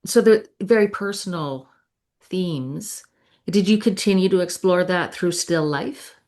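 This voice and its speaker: A woman speaks as a podcast host in an interview. Her voice is low for a woman, and she phrases her questions in a conversational way. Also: unhurried, darkish tone.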